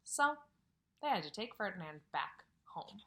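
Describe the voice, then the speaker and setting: A woman's voice: medium pitch, normal speed. A woman who teaches kindergarten, reading a picture book aloud at home and stopping to ask the children questions, sometimes dropping to a whisper. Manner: expressive storytelling with questions to children, drops to whispers. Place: at home, near a couch.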